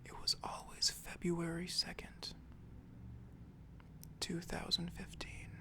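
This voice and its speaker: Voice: mid-range voice, monotone, takes it slowly, pushed and bright. A tired ASMR storyteller whispering a rambling improvised tale, with occasional apologetic asides.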